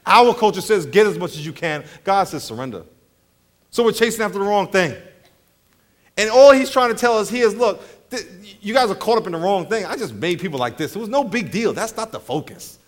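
A man's voice: high-pitched, a little fast. A man preaching a sermon, telling comic travel stories, acting out voices and laughing along. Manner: animated storyteller, acts out voices, laughs often. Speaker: pastor.

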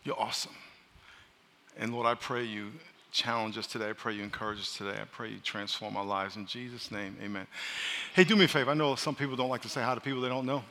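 A pastor preaching a sermon, loud and joking, calling on his congregation to answer back. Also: mid-range voice, little pitch movement, fast talker. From New York. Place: large church hall. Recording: live sermon in a reverberant hall.

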